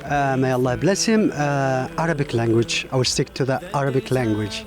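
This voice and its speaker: A man from Pakistan and Iraq who does not speak English as a first language, answering a host's questions as a competition contestant in an earnest, polite, halting way. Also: mid-range voice.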